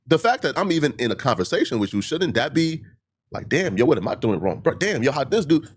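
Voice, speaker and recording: A man's voice: medium pitch, big pitch swings, fairly quick, husky. A man who stutters, a livestreamer giving a heated monologue to his critics, full of repeated points and rhetorical questions.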